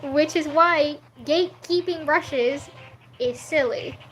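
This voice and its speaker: A woman's voice: sits high, slow pace, fairly bright tone. A woman, not a native English speaker, chatting casually on a livestream while she draws and answers chat, laughing often. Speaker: streaming artist.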